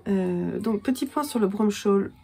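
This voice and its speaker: A woman's voice: mid-range voice, creaky, slightly rough. A woman in her thirties with a cold, chatting casually and self-mockingly to camera at home about her knitting, with lots of hesitations. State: has a cold. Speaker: knitting vlogger and yarn dyer. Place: small room at home, indoors.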